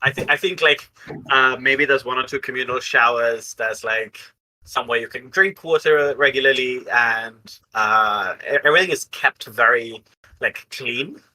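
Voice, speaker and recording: A man telling an improvised story casually as a game player, haltingly piecing it together aloud. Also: medium pitch, bright, forceful tone, rough recording.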